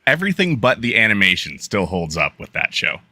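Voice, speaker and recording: A man's voice: on the low side, big pitch swings, a little fast, pushed and bright, no echo. A man in casual, joking group chat with a wry way of talking.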